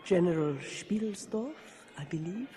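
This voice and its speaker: A woman's voice: on the low side, expressive, measured pace. An actress playing a mysterious older noblewoman in a radio drama, formal, theatrical and imploring. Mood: coy, secretive. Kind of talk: 1980s radio drama acting.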